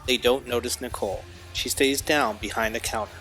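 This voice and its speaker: A man's voice: mid-range voice, fairly even intonation, a little fast, sharp tone, soft background music, lightly acted, low-quality recording. A man narrating an audio drama, reading scene directions as scripted narration. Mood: neutral.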